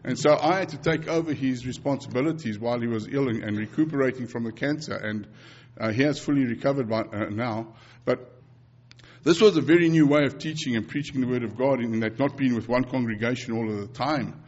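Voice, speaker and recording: A man's voice: medium pitch, fairly quick, a little mellow, muffled recording. A man in his fifties from South Africa with a South African accent, Afrikaans as his first language, preaching earnestly to a congregation with repeated phrases and frequent restarts. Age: 50s. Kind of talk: sermon and mission report.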